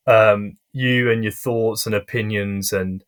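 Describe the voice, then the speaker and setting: A young man hosting a casual podcast interview, friendly and hesitant with frequent pauses and restarts. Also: lowish voice, steady tone, clean tone. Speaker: podcast host.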